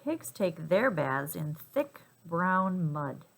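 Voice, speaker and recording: A woman reading a children's picture book aloud in an expressive, emphatic and playful way for young listeners. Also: fairly low pitch, slow pace, gravelly. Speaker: storybook reader.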